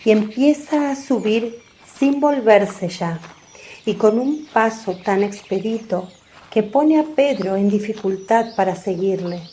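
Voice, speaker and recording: A woman giving a measured, descriptive scripted narration as the narrator of a radio drama. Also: mid-range voice, animated, mellow, slight background noise. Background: faint music.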